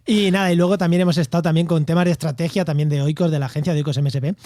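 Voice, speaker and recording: A man's voice: fairly high pitch, fairly even intonation, very fast, dark tone, no echo, clean studio sound. A man from La Mancha with an accent from Spain, co-hosting a podcast in a chatty, joking, informal way. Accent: Spanish from Spain. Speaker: podcast co-host and interviewer. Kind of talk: casual podcast chat.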